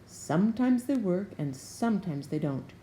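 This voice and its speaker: A woman voicing a sly, teasing old male wizard in a storybook, speaking in a slow, knowing sing-song. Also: medium pitch, animated, mellow, a little hiss or hum, close to an everyday voice, poor audio.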